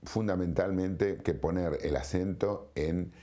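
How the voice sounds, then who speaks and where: A man's voice: low voice, a little slow, warm tone. A man explaining in an interview, colloquial and emphatic, repeating phrases for weight.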